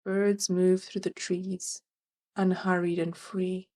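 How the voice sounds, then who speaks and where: A woman narrating a guided meditation as its guide, gentle and soothing, leaving long pauses between phrases. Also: on the low side, level tone.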